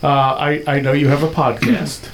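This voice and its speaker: A man's voice: a little high, fairly even intonation, moderate pace, a little hiss or hum. A man hosting a casual podcast interview, giving brief, laid-back prompts and questions. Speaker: podcast host.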